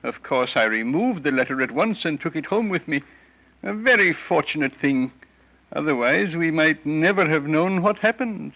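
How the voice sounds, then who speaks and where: Creaky-voiced, formal American businessman. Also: fairly high pitch, animated, slight background noise. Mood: smugly reassuring. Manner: measured, formal, reassuring. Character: smooth, self-satisfied male boss concealing his guilt. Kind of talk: 1940s radio drama acting. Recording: dull old 1940s radio recording.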